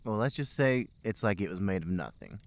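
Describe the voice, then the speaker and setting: A man's voice: on the low side, animated, fairly quick, gravelly. A man hosting a podcast, reading amateur fiction aloud as comic narration with an emphatic storybook delivery.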